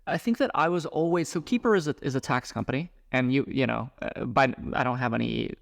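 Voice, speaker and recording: A man in his thirties, a startup founder, talking about his work in a casual podcast interview, halting, with frequent restarts and fillers. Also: mid-range voice, creaky, dry recording, studio quality. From grew up abroad, moved to the US at eleven.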